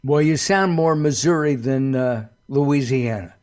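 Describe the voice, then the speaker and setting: A man's voice: medium pitch, measured pace, darkish tone. A man hosting a talk radio show, chatty and upbeat, often rambling.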